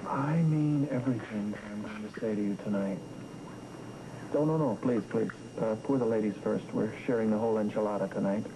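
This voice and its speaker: A man's voice: fairly low pitch, fairly quick, noisy background, lightly acted. A man in his thirties playing a wisecracking romantic charmer in a TV soap, slangy, playful and persuasive. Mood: suave, assured. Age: 30s. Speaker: soap opera actor. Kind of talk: television soap opera dialogue. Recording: worn VHS tape, loud music behind.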